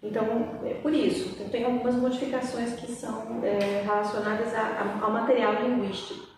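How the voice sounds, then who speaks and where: A Brazilian woman with a lightly mixed Portuguese accent, a poet talking as an interview guest, reflective and full of pauses and restarts. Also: fairly high pitch, little pitch movement, noisy background. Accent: slightly mixed Brazilian Portuguese, a bit of Minas Gerais. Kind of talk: thoughtful conversational interview. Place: library event room. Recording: echoey hall, speaker far from mic.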